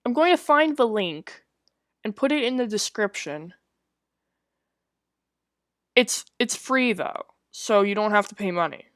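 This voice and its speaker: A woman's voice: mid-range voice, lively intonation, a little slow, pauses often, fairly bright tone, no echo, studio quality. A woman hosting a gaming video, chatting casually to her viewers.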